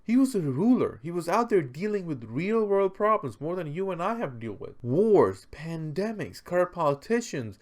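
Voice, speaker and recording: A man's voice: high-pitched, big pitch swings, normal speed, hardly any pauses, darkish tone. Emphatic man giving a conversational lecture. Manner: emphatic, asks rhetorical questions and repeats phrases for stress. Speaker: presenter explaining history and philosophy. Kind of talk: conversational explanatory lecture, unscripted.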